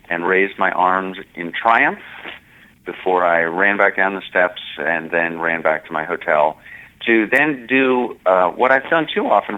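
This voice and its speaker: A man calling in by phone to his podcast, chatting in an upbeat, jokey way. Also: lowish voice. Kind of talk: casual chat. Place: hotel, away on business. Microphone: phone call. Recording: tinny phone line.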